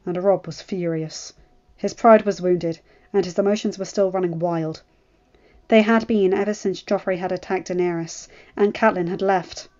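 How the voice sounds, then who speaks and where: A woman's voice: lowish voice, fairly even intonation, moderate pace, darkish tone. Woman reading a story, slightly muffled. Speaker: fan fiction audiobook reader. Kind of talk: expressive scripted story narration.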